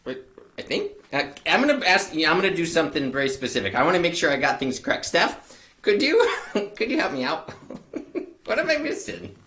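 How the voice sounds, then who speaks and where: A woman's voice: low-pitched, sing-song, a little fast, fairly bright tone. A young woman hosting a children's show, bubbly and chatty, laughing often and rambling with fillers. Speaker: host of a children's library show.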